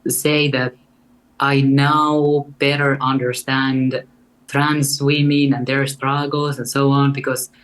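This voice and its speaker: A young woman with a Finnish accent and a deep voice, speaking as a guest in an earnest, reflective way. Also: little pitch movement, slow, rough recording. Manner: earnest and reflective, with light laughs. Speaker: guest artist. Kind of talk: casual interview conversation.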